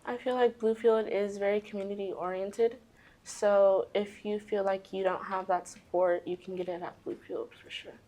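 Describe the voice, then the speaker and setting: Upbeat young woman softball player. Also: mid-range voice, fairly even intonation, normal speed. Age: young adult. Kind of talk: interview answers.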